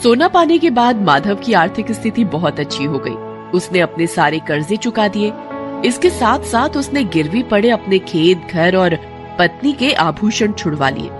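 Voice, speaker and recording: An expressive woman tells a children's story in a scripted storybook manner. Her pitch rises and falls with plenty of life. Music plays underneath. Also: normal speed. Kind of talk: scripted narration of a kids story. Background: background music.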